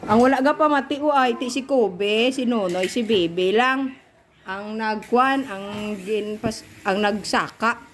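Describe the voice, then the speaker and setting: A woman telling an improvised story to children in a playful, expressive, informal way. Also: fairly high pitch, unhurried, slight background noise.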